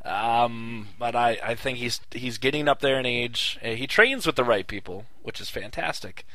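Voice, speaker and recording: Male co-host, mid voice, wry and relaxed, dry close sound. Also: medium pitch, normal speed, no echo. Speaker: podcast co-host. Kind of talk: casual sports chat.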